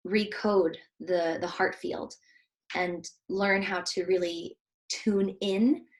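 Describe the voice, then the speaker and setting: A woman's voice: fairly low pitch, fairly even intonation, slow pace. A woman from Switzerland, a heart coach hosting a casual interview, speaking earnestly and reflectively with many fillers. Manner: earnest, reflective, soft-spoken with frequent fillers.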